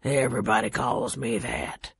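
A woman voicing a curt old mountain man who speaks slowly and tersely. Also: a bass voice, creaky, slightly rough, no echo, studio quality, voice pushed down, exaggerated voice. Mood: gruff. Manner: slow, terse.

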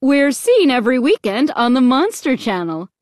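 A woman voicing a cheery female monster game show MC in an audiobook, with bright, showy announcer patter. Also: high voice, big pitch swings, normal speed, no echo, clean studio sound, pitched above the performer's own voice.

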